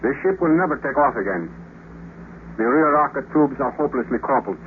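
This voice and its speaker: Actor as a stiff cosmonaut, muffled old radio. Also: male voice, medium pitch, expressive, a little fast, close to an everyday voice. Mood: bitter, defeated. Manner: stiff, formal speech without contractions. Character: proud, despairing Russian cosmonaut stranded on the moon. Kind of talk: 1950s radio drama acting. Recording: muffled, noisy 1950s radio recording.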